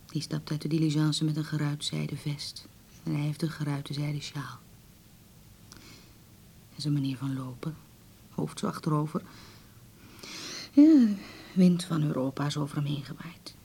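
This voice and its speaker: An actress playing an anxious, loving older mother in a radio drama, speaking in breathy, theatrical phrases. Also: sits low, fairly even intonation, moderate pace, long pauses, dark tone, a little hiss or hum. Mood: brooding.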